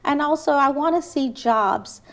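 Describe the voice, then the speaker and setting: High-voiced woman pitching her campaign. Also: unhurried. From Trinidad. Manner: earnest, persuasive, repeats phrases and restarts. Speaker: city council candidate. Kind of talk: campaign interview answers.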